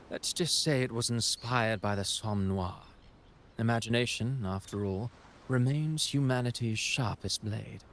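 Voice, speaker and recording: A man's voice: medium pitch, unhurried, fairly bright tone, slight background noise. A man playing a grandiose, self-assured mastermind villain in a video game cutscene, composed and eloquent, with faint ambience behind. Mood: smug, composed.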